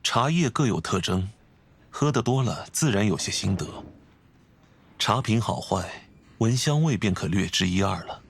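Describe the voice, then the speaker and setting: A man voicing a refined, composed, scholarly gentleman in a video game, speaking formally and courteously with measured phrasing. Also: fairly low pitch, animated, creaky, husky, full character voice. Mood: instructive. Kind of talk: video game character acting.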